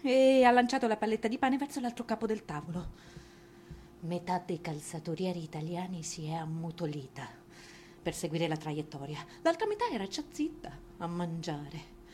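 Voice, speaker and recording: A woman's voice: on the low side, animated, normal speed, darkish tone, slight background noise, lightly acted. An actress playing a humiliated, indignant wife in a theatrical monologue, dramatic and mocking as she stretches words for emphasis. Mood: bitter mockery.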